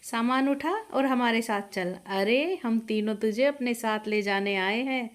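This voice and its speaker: A woman's voice: a little high, a little slow, natural voice. A woman voicing an affectionate, scolding sister-in-law in a moral story, with a teasingly bossy manner.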